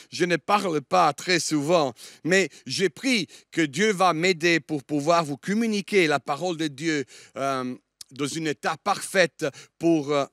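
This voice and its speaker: A man's voice: a little high, a bit bright, no room sound, clean studio sound. A middle-aged Italian man preaching a sermon in French, with an Italian accent touched by a Liège accent, often hesitating with "euh" as he searches for words. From Italy. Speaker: guest preacher.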